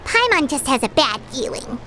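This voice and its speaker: A woman voicing a small, excitable, cheeky fairy companion in a video game, with a squeaky childlike voice and a brash manner. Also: normal speed, slight background noise, full character voice. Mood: suspicious. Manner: brash, speaks of self in third person. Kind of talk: video game character acting. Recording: music behind the voice.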